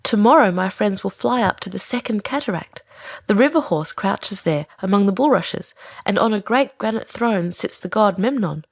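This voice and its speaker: A woman's voice: medium pitch, lively intonation, moderate pace, vocal fry, no echo. A woman reading aloud and voicing a loyal, kind-hearted little male swallow who talks earnestly and wistfully.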